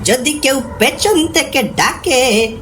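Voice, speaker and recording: Woman as a slow, high-voiced village tea-stall uncle. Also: expressive, music in the background. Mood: concerned. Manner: concerned, familiar, advising. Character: kindly, cautious older male village tea seller. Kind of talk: cartoon ghost story character acting. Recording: noisy background ambience.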